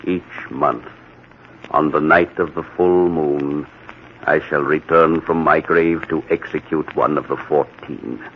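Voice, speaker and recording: An actor playing a vengeful undead magician in old-time radio horror, speaking in a menacing, theatrical, deliberate way. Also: male voice, extremely low pitch, steady tone, full character voice. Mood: grim resolve. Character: vengeful undead executed magician back from the grave. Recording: muffled, hissy old radio recording.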